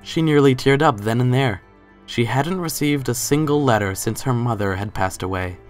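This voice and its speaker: A man's voice: mid-range voice, normal speed, soft background music. A man giving a scripted dramatic reading as the narrator of a story.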